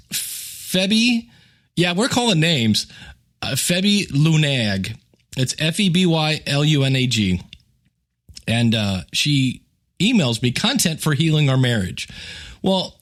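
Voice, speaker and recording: A man's voice: medium pitch, a bit bright. A middle-aged man with an American accent, hosting a podcast and telling stories in a conversational, wry way. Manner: conversational, wry, chuckles at own asides. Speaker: podcast host. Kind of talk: casual solo podcast storytelling, reading emails aloud.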